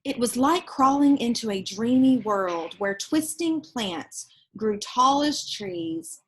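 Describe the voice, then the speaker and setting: A woman's voice: a little high, animated, slow pace, slightly rough, low-quality recording. A woman reading a children's storybook aloud expressively, with casual asides explaining the pictures to young listeners. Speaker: storybook reader.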